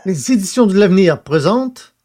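Audio serial narrator, medium pitch. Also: very animated, moderate pace, mellow. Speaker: announcer and narrator.

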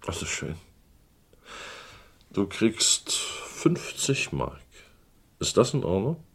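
A man playing a smooth-talking, patronizing uncle with menace underneath in a German radio crime drama. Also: fairly low pitch, huge pitch swings, drawn-out words, exaggerated voice. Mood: coaxing, wary.

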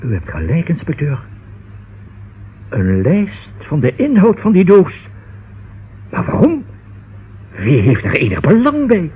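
A man's voice: on the high side, huge pitch swings, slow pace, long pauses, breathy edge, full character voice. A man acting a fussy, nervous, forgetful solicitor in a radio play, with hesitant, exclamatory speech. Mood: earnest. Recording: muffled, band-limited old radio recording.

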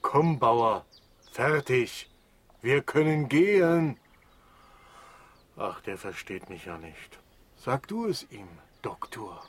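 An actor playing a short-sighted, good-natured plough horse, plain-spoken and often rhyming, in a children's radio play. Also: male voice, mid-range voice, very animated, takes it slowly, long pauses, fairly bright tone, slightly rough, no echo, full character voice. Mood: content.

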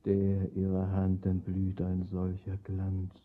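A muffled old radio drama recording with faint noise carries a grave young bridegroom. His voice is low and solemn. He declaims a fateful tale in measured, poetic phrases. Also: completely flat delivery. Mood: solemn, ominous.

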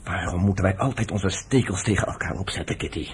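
An actor playing a proud wounded fighter, probing and earnest, in a radio drama scene. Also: male voice, deep voice, quick pace, a little hiss or hum, close to an everyday voice. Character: wounded fighter, proud, questioning his lover.

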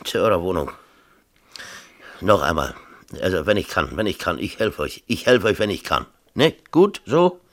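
An actor playing an agitated, self-pitying middle-aged mayor in a radio drama, rambling and insistent in colloquial talk. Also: male voice, low voice, sing-song, normal speed. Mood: confiding, insistent. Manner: rambling, insistent, peppers talk with tag questions. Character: agitated, self-pitying small-town mayor and exasperated father. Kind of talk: 1970s East German radio drama acting, colloquial dialogue.

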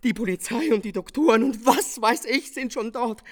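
An actress playing a distraught, chattering servant in a radio drama, agitated, breathless and stammering. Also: female voice, on the high side, normal speed, close to an everyday voice. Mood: horrified, frantic.

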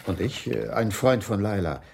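An actor playing a troubled, evasive husband in a German radio crime drama, speaking hesitantly. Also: male voice, fairly low pitch, moderate pace, vocal fry, darkish tone, a little hiss or hum. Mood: evasive, nervous. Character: troubled, evasive husband, a dining-car waiter. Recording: music under the voice.

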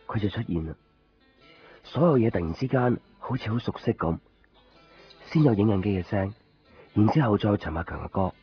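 An actor playing a brooding, lovelorn man narrating a radio drama in Hong Kong Cantonese, in a deliberate, introspective way. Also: fairly low pitch, close to an everyday voice. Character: brooding, lovelorn man searching a night hospital. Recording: dull lo-fi radio recording, faint music.

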